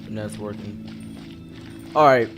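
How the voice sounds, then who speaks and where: A man's voice: lowish voice, slow pace. A young man casually commentating a live game stream, rambling and often repeating himself. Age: teenager or young adult. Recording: game music over the voice. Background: game music and sound effects.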